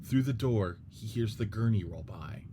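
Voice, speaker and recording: A man narrating the stage directions of a radio play script in a steady, descriptive reading. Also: fairly low pitch, moderate pace, background noise.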